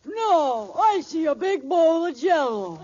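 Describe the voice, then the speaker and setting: An actress playing a dopey, easygoing desert nomad with slangy comic delivery in an old radio comedy. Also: female voice, very high-pitched, full character voice. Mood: dopey, cheerful. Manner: slow, dim-witted comic deadpan, slangy. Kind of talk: 1940s radio comedy acting. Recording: noisy, dull old radio recording.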